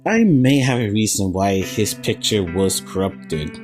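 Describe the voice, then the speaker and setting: A man's voice: on the low side, big pitch swings, a little slow, a little mellow. A man hosting a video essay, giving casual, slightly stumbling analysis of a horror series. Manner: informal, stumbling over wording. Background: faint plucked guitar music.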